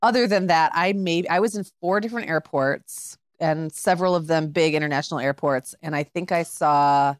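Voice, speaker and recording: A woman's voice: deep voice, normal speed, hardly any pauses, no room sound, studio quality. A middle-aged woman co-hosting a podcast in casual chat, talking in a rambling way with many filler words. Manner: chatty, many "like" fillers and restarts. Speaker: podcast co-host.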